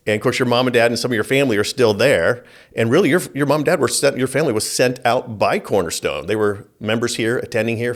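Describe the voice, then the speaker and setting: A man hosting a church podcast, talking in a loose, rambling way with many fillers and repeated words. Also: mid-range voice. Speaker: podcast host. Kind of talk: casual interview chat.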